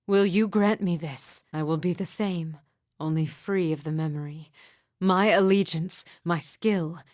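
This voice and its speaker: A woman voicing a sorrowful, haunted mystic midwife in a video game, speaking in solemn, hushed, deliberate and formal phrases. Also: on the low side, dry recording, clean studio sound, natural voice. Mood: pleading, anguished. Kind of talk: video game character dialogue, poetic and measured.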